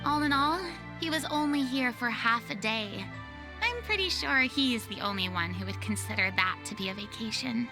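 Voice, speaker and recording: A woman voicing a spirited, theatrical young lady with an expressive, chatty manner in a video game. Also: fairly high pitch, normal speed. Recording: music behind the voice.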